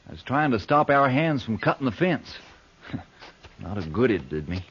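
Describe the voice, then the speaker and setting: A man's voice: medium pitch, sing-song, moderate pace, fairly bright tone, husky, heavily acted. A man playing a young, plain-spoken ranch cowboy with folksy speech, in 1940s radio western style. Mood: earnest, troubled. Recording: muffled old radio broadcast recording.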